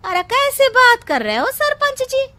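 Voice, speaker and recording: A woman's voice: very high-pitched, big pitch swings, unhurried, sharp tone, dry recording, full character voice. A woman voicing an anguished, outspoken village woman in a Hindi cartoon, melodramatic and imploring. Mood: scolding. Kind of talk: Hindi cartoon story dialogue.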